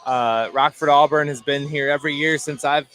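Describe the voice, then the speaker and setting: An American man giving conversational basketball analysis, halting and repeating words as he goes. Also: a little high, fairly even intonation, a bit bright, low-quality recording. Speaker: color commentator. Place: indoor basketball gym, courtside broadcast. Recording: faint gym noise behind.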